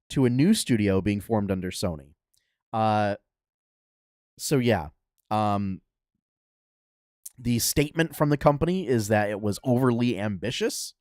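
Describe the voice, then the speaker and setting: A young adult man hosting a casual gaming news podcast, talking in a relaxed way with lots of fillers, a little nasal from a cold. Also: medium pitch, dry recording, studio quality. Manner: laid-back, many fillers and restarts. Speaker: podcast host.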